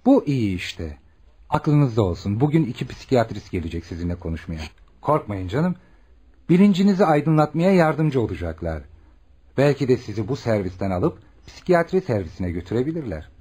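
An actor playing an earnest, caring young male doctor in a Turkish radio play, with an expressive, questioning manner. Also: medium pitch, moderate pace, husky. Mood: reassuring, gentle. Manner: expressive, probing, reassuring. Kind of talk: Turkish radio theatre acting.

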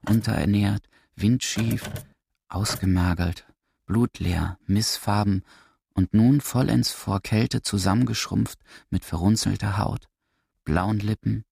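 A man with a fairly low voice reads dramatic literary prose for radio. His delivery is measured, precise and theatrical, over a faint layer of noise and clicks. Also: vocal fry, darkish tone. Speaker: narrator.

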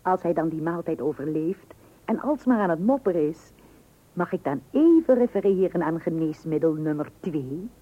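A woman's voice: deep voice, animated, moderate pace, a little hiss or hum. An actress playing a stubbornly thrifty, teasing housewife and mother in a radio comedy, breezy and insistent. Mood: sly lecturing. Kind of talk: Dutch radio comedy play acting. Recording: dull, lo-fi old radio recording.

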